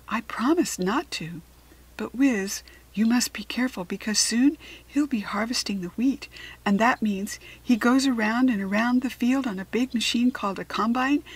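A woman's voice: on the high side, breathy edge, pitched above the performer's own voice, rough recording. A woman voicing an earnest, caring, anxious young farm girl in a children's storybook, speaking emphatically. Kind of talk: children's storybook character reading.

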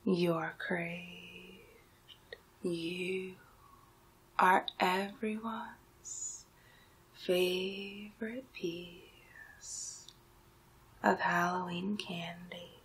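Young female ASMR performer, hypnotic enchantress role; hushed, very breathy whisper, unhurried drawn-out phrases. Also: fairly low pitch. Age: young adult. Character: magical enchantress turning listener into Halloween candy.

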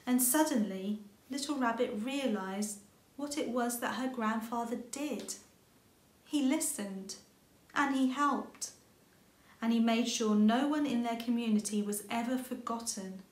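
A woman's voice: on the high side, a little slow. A woman in her thirties reading a children's story aloud expressively, indoors at a short distance from the camera. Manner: expressive reading with character lines. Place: indoor room with bookshelves. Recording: roomy home recording.

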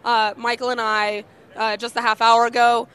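A woman in her thirties hosting a trade-show interview, bubbly and enthusiastic with quick, eager reactions, speaking into a handheld mic in a convention hall. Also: fairly high pitch, level tone, a bit bright, smooth. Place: busy convention hall booth.

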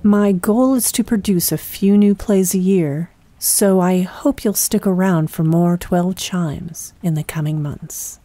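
Low, mellow, unhurried woman podcast host. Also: no echo. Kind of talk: scripted podcast introduction.

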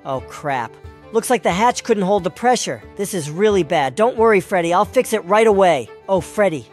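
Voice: mid-range voice, lively intonation, normal speed, soft background music, natural voice. A performer voicing an anxious, pleading young boy in a cartoon comic dub, nervous and urgent. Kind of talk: comic dub character acting.

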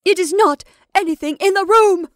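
Woman acting a shrill, terror-struck woman. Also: normal speed, no room sound, studio quality. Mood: shrill insistence. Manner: frantic, shrill, insistent. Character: terrified, obstinately insistent woman, shrill with horror.

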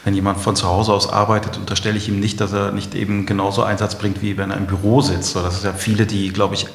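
A middle-aged man speaks in a low voice with plenty of creak and very little pitch movement. He talks reflectively, as a founder in a conversational interview. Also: level tone, fast. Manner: reflective, hedging with frequent 'I think'. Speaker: company co-founder being interviewed. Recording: roomy, echoing interview recording.

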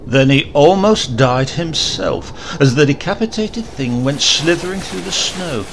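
A man giving a dramatic reading of a horror story, often dropping to a hushed whisper. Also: on the high side, a little slow. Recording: loud steady hiss behind the voice.

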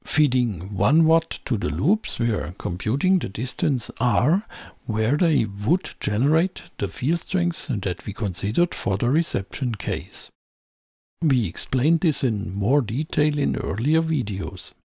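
A man reading scripted technical narration as a tutorial presenter, in a measured, explanatory way. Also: mid-range voice, big pitch swings, creaky, dark tone, breathy edge, dry recording. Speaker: presenter of an electronics tutorial channel.

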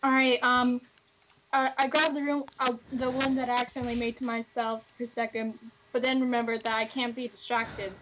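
A woman's voice: on the high side, level tone, brisk, noisy background. A woman speaking casually as a player in a role-play game.

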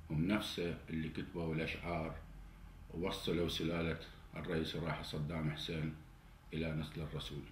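A man in his forties with an Iraqi Arabic accent, delivering an indignant political monologue straight to the camera. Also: low-pitched. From Iraq. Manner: indignant, grows heated and louder. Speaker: political commentator. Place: indoor study with flag and cabinet. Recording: roomy indoor echo, faint hiss.

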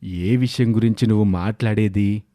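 Man voicing a low, smug lawyer uncle. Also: normal speed, warm tone, no room sound, natural voice. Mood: smug, taunting. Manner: taunting, self-assured. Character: sly, unruffled middle-aged lawyer uncle, mocking his niece.

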